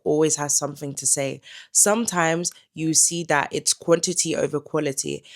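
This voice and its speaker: Young woman giving advice. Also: low-pitched. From Netherlands. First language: Dutch. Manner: calm and instructive, advising the viewer directly. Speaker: lifestyle vlogger. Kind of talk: advice vlog talking to camera.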